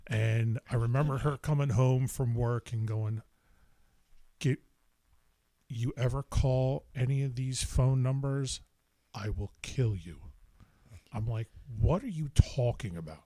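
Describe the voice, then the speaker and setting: Slow, halting man telling stories. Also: medium pitch, mellow. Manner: slow, halting storytelling with many restarts. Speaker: podcast guest, bass player and vocalist. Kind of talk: casual podcast chat.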